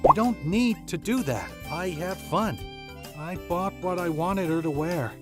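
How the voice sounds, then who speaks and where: Woman voicing an earnest young man, low-pitched and animated. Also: a little slow. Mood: admiring. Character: earnest, generous young male student smitten with a girl. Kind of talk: manga dub dialogue and first-person narration. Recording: music behind the voice. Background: background music.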